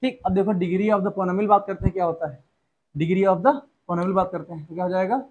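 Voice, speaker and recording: A man's voice: sits high, brisk, a little mellow, highs cut off. A young man teaching a maths lesson, calling his students "beta" and asking rhetorical questions he answers himself. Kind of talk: classroom lesson explanation.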